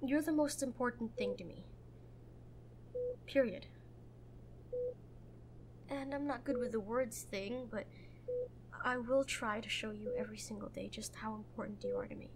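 A woman playing a protective, prickly young girlfriend in a roleplay audio drama, tender but bossy and halting when vulnerable. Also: a little high, a little hiss or hum, natural voice. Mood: vulnerable, earnest. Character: protective, prickly young woman, frightened for her injured lover.

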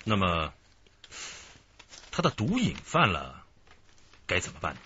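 A man's voice: sits low, huge pitch swings, slow, heavily acted. An actor playing a hearty, avuncular male police chief in a radio drama, coaxing and exclaiming. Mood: encouraging, jovial. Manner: coaxing, exclamatory, repeats himself. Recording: muffled radio drama, loud background noise.

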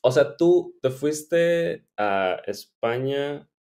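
A man's voice: mid-range voice, expressive, extremely slow, darkish tone, clear, resonant tone. Young man interviewing, mid-range voice. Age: 20s. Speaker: podcast host, interviewer. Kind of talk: casual interview chat.

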